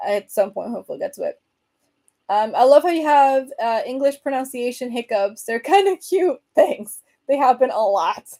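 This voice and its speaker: A woman's voice: fairly high pitch, vocal fry, mellow. A young woman from Venezuela with a Venezuelan accent, casually chatting on a livestream and reading viewer comments aloud with lots of fillers. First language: Spanish. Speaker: host.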